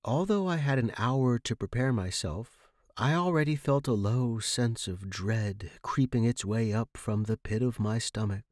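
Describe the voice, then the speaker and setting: A man's voice: medium pitch, a little slow, a little mellow, dry recording, studio quality. A man reading a scripted first-person horror story as a podcast narrator.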